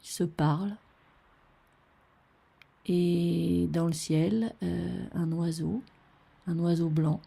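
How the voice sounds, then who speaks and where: Woman, unscripted picture describer: husky, creaky voice, tentative delivery with long hesitations. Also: on the low side, fairly even intonation, warm tone. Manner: halting, tentative, thinking aloud. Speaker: describer of old photographs. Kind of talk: unscripted spoken description of a photo.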